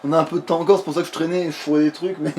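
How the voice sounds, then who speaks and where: A man with a slightly high voice talks casually while gaming. His speech is slangy and jokey, peppered with swearing. There is faint noise in the recording. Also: level tone, rapid-fire, a little mellow. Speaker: let's play gamer. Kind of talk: casual chat while playing a video game. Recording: background noise.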